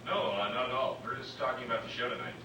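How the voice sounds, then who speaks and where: A man's voice: mid-range voice, fast, lightly acted. A man playing a genial, experienced comedian who gives polite advice in a conversational staged reading. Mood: helpful. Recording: echoey room, distant, noisy.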